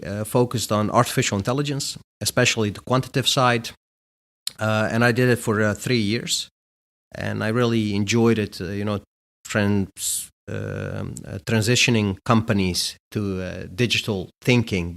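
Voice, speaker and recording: A man's voice: fairly low pitch, creaky. A middle-aged man from Bosnia, a guest answering interview questions in a casual, hesitant way with many fillers. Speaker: guest, company executive.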